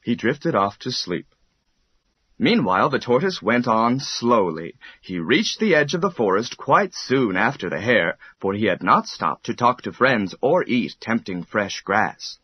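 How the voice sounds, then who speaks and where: A man narrating a children's bedtime story in an expressive, measured storytelling manner. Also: mid-range voice, breathy edge, lo-fi sound.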